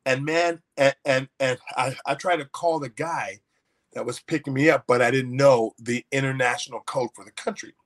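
A man's voice: medium pitch, fairly even intonation, moderate pace. A man, an author guest, telling a personal story in a folksy, anecdotal way with many restarts. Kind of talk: storytelling in an interview.

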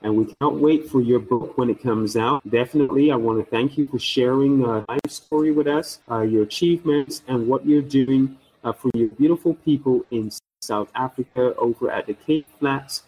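Husky-voiced man hosting an interview. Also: medium pitch, moderate pace, hardly any pauses, low-quality recording. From Saint Martin. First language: English. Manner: warm, effusive, praising the guest. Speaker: show host. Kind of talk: radio-style interview talk.

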